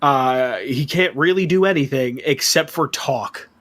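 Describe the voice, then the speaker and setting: A man with a stuffy nose, chatting on a podcast about his tabletop characters, animated and full of swearing. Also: medium pitch. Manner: animated storytelling, swearing, quick asides. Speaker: podcast guest. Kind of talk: casual chat about tabletop gaming.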